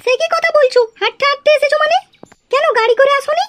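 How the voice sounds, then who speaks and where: An actor voicing a squeaky, fussy, doting female in-law in a comedy cartoon. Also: fast. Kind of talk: Bengali comedy cartoon dialogue. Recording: loud noise behind the voice.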